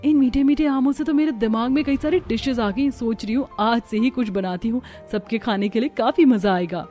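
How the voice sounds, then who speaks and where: A woman voicing a chatty, somewhat pert young daughter-in-law from the city in an animated story. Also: a little high, a little fast, lightly acted. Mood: cheerful. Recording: music under the voice.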